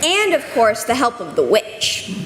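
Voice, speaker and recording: A woman's voice: a little high, wildly swooping pitch, measured pace, crowd noise. A woman playing a sincere, practical village child in an amateur readers theater. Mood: hopeful. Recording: echoey hall, faint noise.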